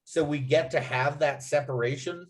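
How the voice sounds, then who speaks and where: A man leading a casual feedback discussion, speaking haltingly and thinking aloud as he goes. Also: on the high side, fairly even intonation, poor audio. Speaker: writer leading a feedback talk after a script read.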